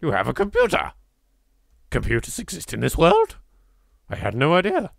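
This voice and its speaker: A man's voice: fairly high pitch, wildly swooping pitch, moderate pace, gravelly, no room sound, clean studio sound. A British man hosting a let's play, commenting with playfully exaggerated reactions. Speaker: gaming video host. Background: game music.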